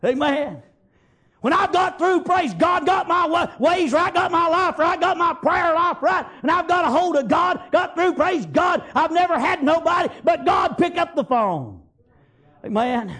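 A man preaches in a very high-pitched voice with a Southern US accent. His delivery is fervent and unscripted, moving between Bible reading and homespun retelling, punctuated with folksy exclamations. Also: animated, unhurried, sharp tone. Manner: folksy, exclamatory, repeats praise God and amen as punctuation.

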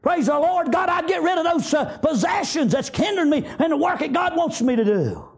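A man with a Southern US accent preaching a fervent revivalist sermon, exhorting loudly and peppering it with praise interjections. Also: very high-pitched, big pitch swings, fairly quick.

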